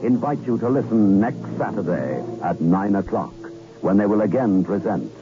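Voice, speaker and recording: A man's voice: fairly low pitch, lively intonation, moderate pace. Polished male vintage radio announcer over music. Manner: polished, formal sales delivery. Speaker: commercial announcer. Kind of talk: 1960s radio advertisement, scripted. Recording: dull old radio recording.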